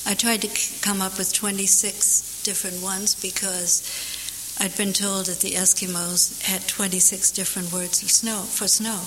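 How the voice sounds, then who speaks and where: A woman poet whose first language is German, answering audience questions and reciting her own poem from memory, thoughtful and unhurried with dry humor. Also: medium pitch, flat delivery. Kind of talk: Q&A after a poetry reading, reciting from memory. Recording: hissy recording in a big echoing hall.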